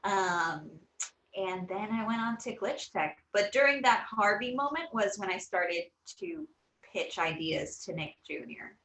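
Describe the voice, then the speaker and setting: A woman's voice: medium pitch, very animated, measured pace, fairly bright tone. A woman in her thirties from Puerto Rico, an animation creator answering interview questions earnestly, with many fillers and restarts. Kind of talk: casual interview. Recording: roomy echo.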